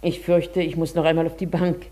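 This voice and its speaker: A proud, genteel lady in a radio play, quietly desperate beneath her manners. Her voice is lowish, soft-edged and very mellow, with a clear husk to it. She stays courteous and composed. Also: little pitch movement, a little fast. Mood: polite, strained. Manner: polite, composed, confiding when talking to herself. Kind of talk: German radio play acting.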